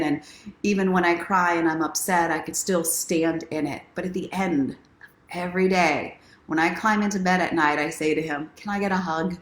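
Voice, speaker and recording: A woman's voice: fairly low pitch, a bit bright. A woman hosting a casual livestream, encouraging and speaking straight to her viewers like a self-help coach. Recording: roomy, echoing sound.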